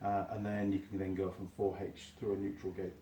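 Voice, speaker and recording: A man, hoarse from a cold, hosting his workshop channel and chatting casually through a gearbox teardown with plenty of fillers. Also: deep voice, brisk, a little hiss or hum. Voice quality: husky. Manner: chatty, relaxed, rambling with fillers.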